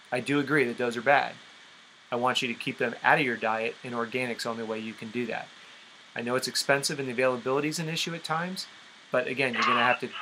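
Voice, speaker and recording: A man's voice: medium pitch, bright, forceful tone. A man coaching a group over a conference call, explaining step by step and often checking in with an okay. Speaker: fitness and nutrition coach. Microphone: conference call line. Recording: noisy conference call recording.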